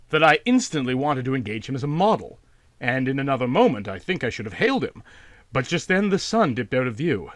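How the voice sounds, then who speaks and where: A man's voice: medium pitch, a bit bright, no room sound. Man reading as a grave, reserved artist, dry sound. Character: reserved male artist recounting an uncanny sight. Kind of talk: storybook character dialogue.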